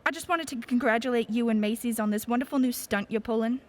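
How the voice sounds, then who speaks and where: A woman playing an effusive, chatty shopper mother in a radio play, with a brisk, emphatic manner. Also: on the high side, close to an everyday voice. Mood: enthusiastic.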